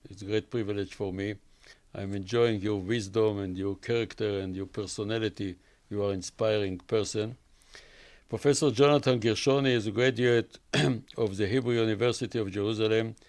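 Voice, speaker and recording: Formal older Israeli host, low voice. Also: male voice, moderate pace, a little mellow. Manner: formal, effusive, in simple English. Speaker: interview host. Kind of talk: formal studio introduction. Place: studio.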